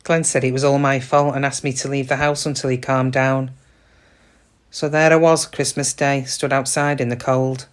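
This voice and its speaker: A woman's voice: a bass voice, level tone, normal speed. A middle-aged woman playing a downtrodden shop cashier in a reflective, confiding, plain-spoken dramatic monologue about her regrets. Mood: wistful, sad. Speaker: actor in a monologue. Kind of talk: first-person dramatic monologue.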